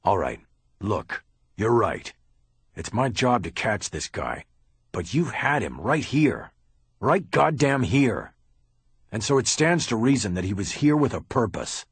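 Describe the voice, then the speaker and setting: A man voicing a gruff, tough middle-aged police detective who talks bluntly and curses. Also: lowish voice, very animated, measured pace, no echo, exaggerated voice. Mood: controlled. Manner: blunt, curses, barks orders. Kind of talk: audiobook character reading.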